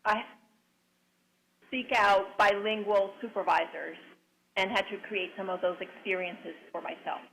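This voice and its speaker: A woman whose first language is Spanish, a clinical psychologist answering a panel question over a remote link in a measured, deliberate way. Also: medium pitch, steady tone, vocal fry, fairly bright tone, a little hiss or hum, poor audio.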